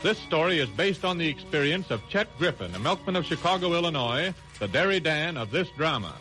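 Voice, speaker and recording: A male announcer in a vintage radio recording. His pitch is a little high. He reads scripted drama narration over clear music. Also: very animated, fairly quick, bright, forceful tone.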